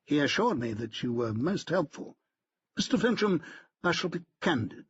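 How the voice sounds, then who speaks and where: A man's voice: fairly high pitch, very animated, normal speed, fairly bright tone, muffled recording, a little hiss or hum, pitched above the performer's own voice. A man voicing a pompous, stiff middle-aged barrister who talks in a haughty, clipped, precise way.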